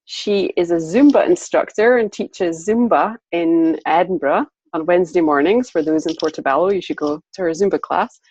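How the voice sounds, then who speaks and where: Low-voiced woman host over a dull line. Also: moderate pace, hardly any pauses, a little mellow, slight background noise. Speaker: host and interviewer. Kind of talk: online interview.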